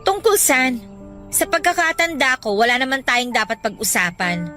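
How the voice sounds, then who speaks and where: Radio drama lead: sarcastic, confrontational young student, extremely high and swooping, music bed. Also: female voice, lively intonation, moderate pace, pushed and bright. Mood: defensive, sarcastic. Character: defensive, resentful student, sarcastic best friend.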